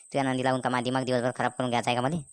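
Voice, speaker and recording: A woman acts out a sarcastic village husband in a comic cartoon, low and quick. He grumbles and mock-pleads in a rural Maharashtrian accent. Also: flat delivery, clean tone, no echo. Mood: exasperated.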